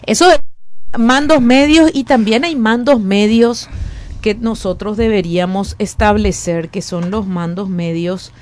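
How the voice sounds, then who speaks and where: A woman's voice: medium pitch, unhurried, a little mellow. A woman in her late forties with a Paraguayan Spanish accent, a guest in an interview who speaks earnestly, repeating and restarting phrases as she thinks aloud. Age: 40s. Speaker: education academic, guest. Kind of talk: conversational interview.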